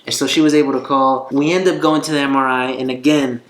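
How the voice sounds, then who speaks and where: A man's voice: medium pitch, little pitch movement, fast. A man vlogging in a casual, conversational and earnest way, telling a personal story. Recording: roomy echo, faint guitar music behind.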